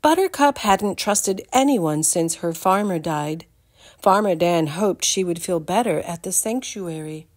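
An older woman giving an expressive read-aloud storytime for young children, in a deliberate, animated manner. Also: on the low side. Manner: deliberate, animated, addresses the listeners with questions.

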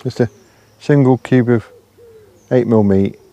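A man in his fifties, an angler talking casually to the camera from a lakeside bank, soft-spoken and often murmuring under his breath. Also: mid-range voice, fairly even intonation, measured pace, creaky. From England. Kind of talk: casual fishing commentary. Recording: faint outdoor noise with birds.

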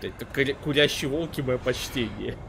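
A man's voice: mid-range voice, a little fast, gravelly, noisy background. A man in his twenties giving casual live reaction commentary as a streamer.

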